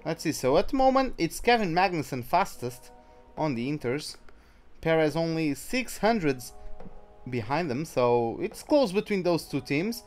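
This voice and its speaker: A man's voice: fairly high pitch, very animated, measured pace, faint music behind. A man giving casual, chatty commentary as he streams a racing game live. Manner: chatty, greets viewers by name mid-commentary. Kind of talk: casual livestream gaming commentary.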